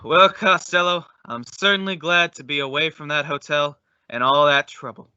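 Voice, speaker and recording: A man playing a stern, scolding straight man in an old-time radio comedy, speaking deliberately. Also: fairly high pitch, pushed and bright, smooth, dry recording, muffled recording. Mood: exasperated.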